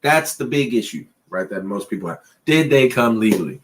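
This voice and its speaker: A man co-hosting a casual late-night chat show, talking in a loose, rambling way and pushing his pitch up and down for emphasis. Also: animated, brisk, a little hiss or hum. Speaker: podcast co-host.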